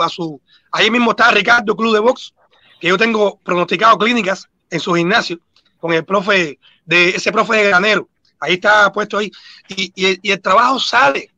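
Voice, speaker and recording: A Cuban boxing coach with a Cuban accent, talking earnestly and repeating himself as a guest on a video-call panel. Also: male voice, sits high, sharp tone, no echo. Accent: Cuban Spanish. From Cuba.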